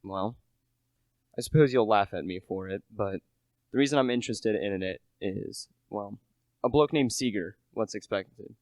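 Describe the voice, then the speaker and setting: A man's voice: fairly low pitch, moderate pace, darkish tone, dry recording, lightly acted. A teenage male actor playing an earnest, humble British salesman in a radio play, chatty and a little hesitant. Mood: eager, chatty. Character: earnest, humble British relish salesman narrating a murder mystery.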